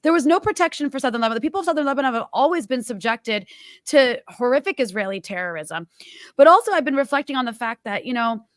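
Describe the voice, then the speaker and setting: A woman's voice: sits high, lively intonation, quick pace, no echo, clean studio sound. A woman in her thirties hosting an interview, talking in an emphatic, impassioned way. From United States. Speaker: host.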